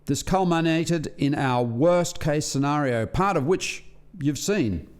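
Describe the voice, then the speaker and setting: An actor playing an earnest alien lab scientist in a radio play, explaining things in a measured, articulate way. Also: male voice, fairly high pitch, expressive, clean tone. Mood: grave, explanatory. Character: earnest alien male lab scientist defending a failed experiment.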